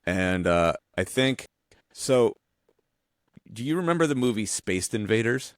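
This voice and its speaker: A man's voice: on the low side, big pitch swings, unhurried, smooth, dry recording, studio quality. A man co-hosting a podcast, brainstorming casually in loose, slangy, sweary language. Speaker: podcast co-host.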